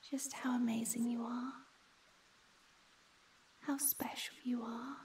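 A woman voicing a shy, gentle young ghost in a soft, breathy whisper for an ASMR comfort roleplay. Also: a little high, level tone, recorded from a distance, a little hiss or hum. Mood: tender, soothing. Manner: slow, tender, hesitant.

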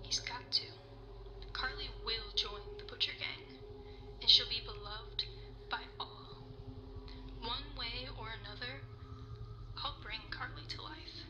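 A woman's voice: a little high, slow, long pauses. An actress playing a bitter, obsessive female animator who speaks intensely. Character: obsessive young female animator, resentful and determined. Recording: noisy background.

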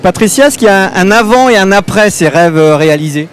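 A man's voice: high-pitched, lively intonation, brisk. Hesitant man hosting in a busy arena. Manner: hesitant, many fillers and restarts. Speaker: host. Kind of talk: live talk show interview. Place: large indoor arena at a horse show. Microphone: handheld mic. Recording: music and crowd noise behind.

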